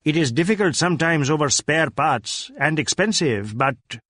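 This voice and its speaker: A man's voice: on the high side, animated, normal speed, bright, forceful tone, no echo, clean studio sound. A man voicing an earnest, talkative Indian man who complains matter-of-factly, as character dialogue in an audiobook. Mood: explaining.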